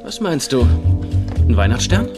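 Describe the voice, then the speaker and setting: An actor playing a flippant, teasing young amateur detective in a dubbed German comedy crime series. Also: male voice, medium pitch, big pitch swings, normal speed, natural voice. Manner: playful, teasing, exaggerated. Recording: music behind the voice.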